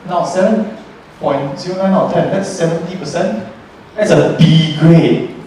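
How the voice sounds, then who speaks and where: A man's voice: a little high, normal speed, warm tone, slight background noise. A young man, a student presenter, talking to a class in a chatty, joking storytelling way. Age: young adult. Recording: roomy echo from far off.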